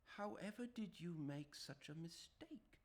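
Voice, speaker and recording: A man's voice: fairly low pitch, huge pitch swings, takes it slowly, a bit bright, pitched above the performer's own voice, exaggerated voice. A man voicing a superior Englishman who speaks in a scathing, disbelieving way in an audiobook. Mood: scornful. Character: scornful Englishman, cool and superior.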